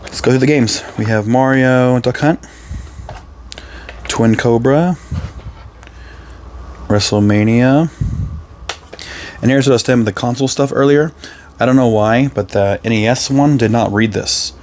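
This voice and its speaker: A man with a hoarse, raspy voice, casually chatting through a reseller vlog, rambling with lots of ums and self-corrections. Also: medium pitch, a little mellow, a little hiss or hum. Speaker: reseller vlogger. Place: his resale booth and home workspace.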